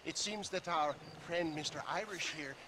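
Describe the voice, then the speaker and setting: A man's voice: a little high, moderate pace, natural voice. An actor playing a grandiloquent, genial old snake oil salesman in a video game, speaking in florid phrases. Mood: pompous, jovial. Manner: pompous, florid. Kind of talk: video game cutscene acting. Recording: clear outdoor noise behind voice.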